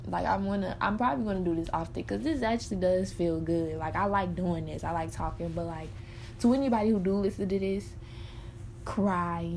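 A woman's voice: fairly low pitch, brisk, creaky, a little mellow, a little hiss or hum. A woman in her early twenties, talking candidly and frankly in a personal monologue, swearing freely and rambling with many fillers. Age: early 20s. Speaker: podcaster.

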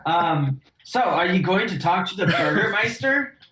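A man's voice: high voice, expressive, measured pace, sharp tone. A man playing a tabletop game, chatting casually with jokey, sarcastic banter in a roomy, echoing recording.

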